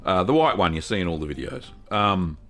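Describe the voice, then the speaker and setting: A fairly low-voiced middle-aged man talks through a relaxed live stream. He is chatty and upbeat, often restarting his sentences. Soft music sits faintly in the background. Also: a little fast. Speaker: cheese-making livestream host. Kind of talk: casual live-stream chat.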